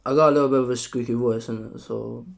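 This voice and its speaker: Young man with a sore throat. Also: vocal fry. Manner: rambling, profane, relaxed and deliberately deeper delivery. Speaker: vlogger. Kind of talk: casual opinion monologue to camera.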